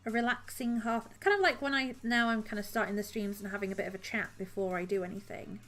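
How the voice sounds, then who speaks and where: A woman's voice: medium pitch, fast talker, a bit bright. A woman streamer chatting casually with her viewers while she cross-stitches, rambling and laughing often.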